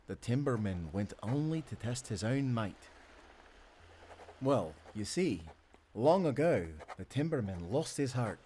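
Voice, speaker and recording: An actor playing a devoted, playful sailor husband with a Scottish accent, speaking tenderly in old-fashioned seafaring language for a romantic audio roleplay. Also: male voice, fairly high pitch, slight background noise. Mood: hushed storytelling. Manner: tender, teasing, given to soft chuckles.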